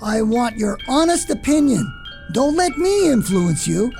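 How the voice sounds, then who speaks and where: The creaky, swooping old proprietor a man acts out who rules a radio-play gift shop with commands and sarcasm, excitable and proud. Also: very high voice, a little slow, soft background music, full character voice. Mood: excited, proud. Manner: commanding, self-important, sarcastic. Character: excitable, proud shop owner, an older male boss.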